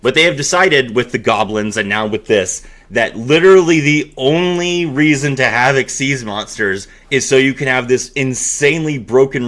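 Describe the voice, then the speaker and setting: A man hosting a dramatic reading mixed with excited reaction commentary, expressive and given to amazed exclamations. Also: medium pitch. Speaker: host.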